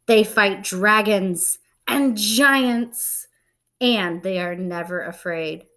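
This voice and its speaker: A woman's voice: mid-range voice, very slow, fairly bright tone. A woman voicing an anxious little toad in a children's storybook, tremulous and exclamatory, putting on bravery. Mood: earnest.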